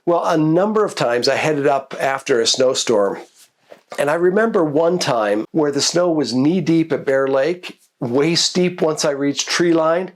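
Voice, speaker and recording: A man's voice: on the high side, measured pace. Congested man recounting photo stories. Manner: relaxed, reflective storytelling. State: congested from a cold. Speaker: nature photographer. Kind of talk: casual narrated photo recap.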